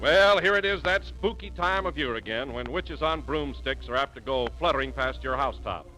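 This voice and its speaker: A man's voice: mid-range voice, normal speed, over music, poor audio. An American man announcing in a polished, emphatic 1940s radio commercial style. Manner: polished, emphatic sales delivery.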